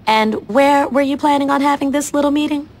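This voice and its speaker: A woman acts out a gangster's exasperated girlfriend in a video game. Her voice is high and her manner sharp and disapproving, with faint noise behind. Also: brisk, close to an everyday voice. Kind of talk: video game cutscene acting.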